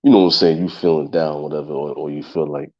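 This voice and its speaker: Casting: very deep-voiced congested male host in his thirties, raspy, dull lo-fi sound. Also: fairly even intonation, fast. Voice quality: gravelly and husky. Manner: loose and repetitive, speaking straight to his listeners. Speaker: podcast host. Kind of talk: casual solo talk show.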